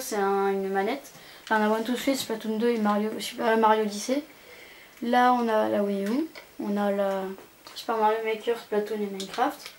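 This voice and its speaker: A woman's voice: on the high side, a little mellow. A young woman giving a casual, rambling, hesitant commentary as she tours a video game. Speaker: gaming channel host. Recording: amateur home recording with background noise.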